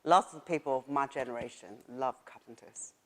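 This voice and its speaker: Deep-voiced Chinese-accented woman author. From China. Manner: thoughtful, halting, with frequent fillers and laughs. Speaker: author interviewee. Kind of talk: casual interview.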